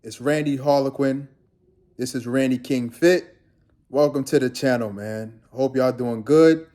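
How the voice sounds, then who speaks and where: A man's voice: mid-range voice, a little slow, mellow. A young man from Guyana, a fitness trainer, talking casually and rambling to his viewers in a laid-back vlog.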